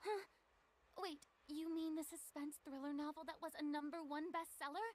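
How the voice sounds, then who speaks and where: An actress playing a proud, theatrical young stage star in a video game, haughty with flustered hesitations. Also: female voice, high voice, fairly even intonation, moderate pace, noisy background, close to an everyday voice. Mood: curious. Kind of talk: acted video game dialogue.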